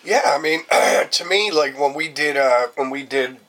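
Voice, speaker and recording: A raspy-voiced man in his fifties, a singer telling stories in a casual interview and humming out riffs as he goes. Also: fairly high pitch, a little slow. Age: 50s. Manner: animated storyteller who hums out riffs. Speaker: singer in a band, guest.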